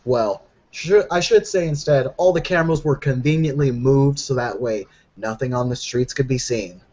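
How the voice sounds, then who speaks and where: A man's voice: mid-range voice, normal speed, darkish tone, close to an everyday voice. Man playing a brash werewolf storyteller, lo-fi. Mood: excited bragging. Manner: casual, profane, rambling, boastful. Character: brash young male werewolf storyteller bragging about a fight. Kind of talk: in-character tabletop roleplay storytelling.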